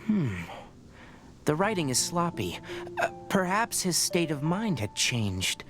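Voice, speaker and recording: An actor playing a composed young male scholar who speaks in a calm, measured, slightly reserved way. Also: fairly high pitch, over music. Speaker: scholar and ranger. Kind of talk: video game voice acting.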